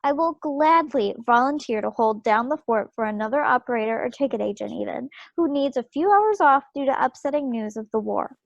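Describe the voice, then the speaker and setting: A woman's voice: fairly high pitch, animated, brisk, gravelly, hardly any pauses, dry recording, lo-fi sound. A woman playing a proud young wartime wife and railroad telegraph operator in a play reading, speaking formally and firmly in her own defence. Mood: firm, defiant. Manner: formal, firm, articulate self-defence. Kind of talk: one-act play table read. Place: indoors, remote video call read. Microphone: video call.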